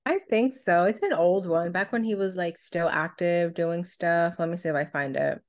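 Low-voiced woman chatting on a podcast. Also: normal speed, darkish tone. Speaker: podcast co-host. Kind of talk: casual podcast chat.

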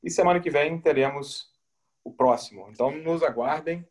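A man's voice: fairly high pitch, normal speed. A man hosting a podcast from home, talking in a reflective, hesitant way. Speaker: podcast host. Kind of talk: casual podcast chat.

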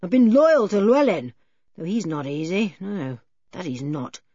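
A woman voicing a gruff, angry, defensive working man in an audiobook, with emphatic rustic turns of phrase. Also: lowish voice, sing-song, moderate pace, warm tone, no echo, highs cut off. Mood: frantic. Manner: rural turns of phrase, repeats tags for emphasis. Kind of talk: audiobook character voice.

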